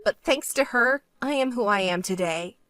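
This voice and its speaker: A woman's voice: a little high, expressive, normal speed, fairly bright tone, faint music behind. A woman voicing a stern, fed-up sister with a grudge in a dramatized story, cold, firm and scolding. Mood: firm, resentful.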